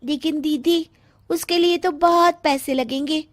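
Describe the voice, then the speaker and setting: A woman plays a young daughter-in-law in a family comedy cartoon. Her girlish voice is extremely high and unhurried, sweetly polite with a sly streak, in a dry recording. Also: fairly even intonation, dry recording. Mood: modest.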